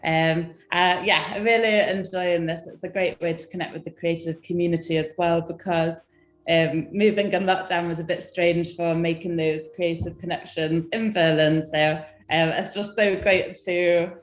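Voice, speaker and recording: A young woman from England, a guest designer chatting casually on a live stream, hesitant with many ums and soft laughs. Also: lowish voice, fairly even intonation, normal speed, echoey room, rough recording.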